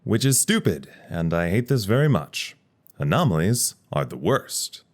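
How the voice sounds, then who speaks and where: A man's voice: deep voice, sing-song, a little slow, exaggerated voice. A man playing a wry, irritated staffer at a secret research site, rambling and sardonic in a naturalistic audio drama style. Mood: wry frustration.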